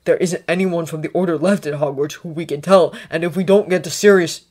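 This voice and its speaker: A woman's voice: fairly low pitch, steady tone, fairly quick, a little mellow, natural voice. A woman voicing an angry, stubborn teenage boy in a story reading, curt and combative. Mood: defiant. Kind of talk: dramatized fiction reading.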